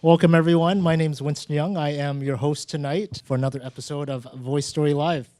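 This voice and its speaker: A man's voice: fairly high pitch, fairly even intonation, fairly quick, warm tone. A man hosting a live storytelling show, introducing it in a casual, conversational manner. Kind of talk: informal live event introduction.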